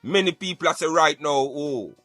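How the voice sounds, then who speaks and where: A man's voice: on the high side, normal speed, pushed and bright, no room sound, soft background music. A man with a Jamaican accent hosting a talk show in Patois, giving emphatic, repetitive commentary peppered with catchphrases. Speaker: radio show host.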